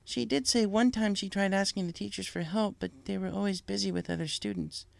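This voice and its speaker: A man's voice: high-pitched, fairly even intonation, a little fast, creaky, dry recording, voice pushed up. A man voicing a worried, tender female pegasus mother in a storybook, hesitant and apologetic. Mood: thoughtful. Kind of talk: storybook character voice.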